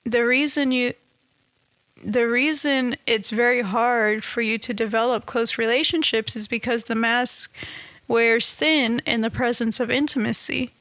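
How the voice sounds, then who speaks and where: A woman talking in a casual, reflective monologue, halting and restarting often, with a slightly nasal, stuffed-up voice. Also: a little high, fairly even intonation, dry recording. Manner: halting, many restarts and soft laughs. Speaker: vlogger.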